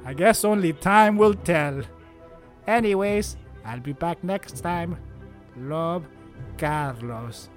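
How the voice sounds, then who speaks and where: A man with a slightly high voice talks as a podcast co-host. He is casual and jokey, easily tipped into giggles, as if among close friends. Light music plays behind him. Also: slow pace. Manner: giggly, breaking into laughter. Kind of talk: casual joking chat with friends.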